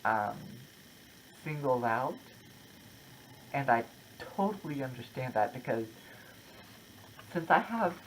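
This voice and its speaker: Slow-talking vlogger, noisy recording. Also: medium pitch, big pitch swings. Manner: halting, reflective, short broken phrases. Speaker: YouTube content creator. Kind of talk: casual personal vlog, opinion talk to camera.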